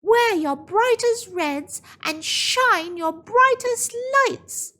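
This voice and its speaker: A woman voicing a brave young village girl who boldly shouts to chase a monster away. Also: extremely high pitch, animated, very slow, voice pushed up, heavily acted. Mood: eager. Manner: bold, shouting and shooing.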